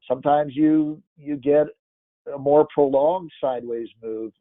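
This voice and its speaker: A congested man walks through market charts in a loose, rambling way, restarting his sentences as he thinks aloud. His voice sits at an ordinary middle pitch. The audio is thin and phone-like, with no room echo at all. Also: steady tone, a little slow. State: congested, stuffy-nosed. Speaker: market analyst. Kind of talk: casual chart-by-chart market commentary. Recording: tinny phone-like dry audio.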